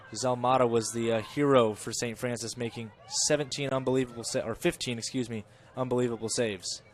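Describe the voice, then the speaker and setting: A man giving chatty live play-by-play of a college soccer match, correcting himself and rising in pitch and volume when the play gets dangerous. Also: slight background noise. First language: English. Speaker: play-by-play commentator.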